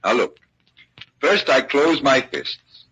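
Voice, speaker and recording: A man's voice: medium pitch, animated, takes it slowly, a bit bright, poor audio. A man acting a self-assured, teasing magician sleuth in an old-time radio drama, commanding as he explains. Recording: hissy vintage radio recording.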